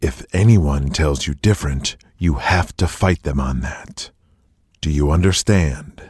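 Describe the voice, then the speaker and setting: A man plays a scheming wrestling promoter in an audio drama, calm, menacing and deliberate. His voice is very low and husky, unhurried, and recorded dry and close. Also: no echo, heavily acted. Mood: coldly commanding.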